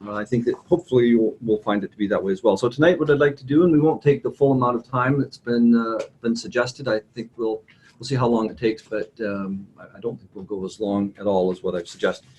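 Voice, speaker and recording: A man's voice: fairly low pitch, a little mellow. Hesitant male instructor, faint room noise. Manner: hesitant, frequent pauses and self-corrections. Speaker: university instructor. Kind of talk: informal classroom talk. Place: classroom with online participants.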